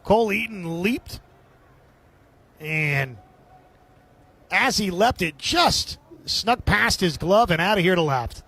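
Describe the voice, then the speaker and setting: A man calling baseball play-by-play as live radio sports commentary, with a husky edge to his voice. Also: sits high, animated, takes it slowly, long pauses, sharp tone.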